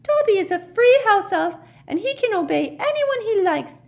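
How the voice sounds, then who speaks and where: A woman voicing a devoted, excitable little male house elf with a high, squeaky voice, speaking in the third person. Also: normal speed, gravelly, exaggerated voice. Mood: tearful, defiant.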